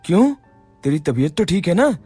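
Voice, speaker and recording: A man's voice: high voice, big pitch swings, normal speed, mellow, husky, soft background music, heavily acted. A man playing a chatty, cheeky young shop co-worker in a Hindi moral-story cartoon. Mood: friendly.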